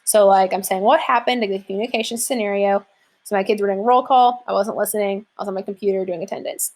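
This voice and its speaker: A woman's voice: medium pitch, fairly even intonation, fast, darkish tone. A young adult woman teacher walking students through an assignment in a casual, chatty way with many fillers. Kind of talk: classroom lesson walkthrough.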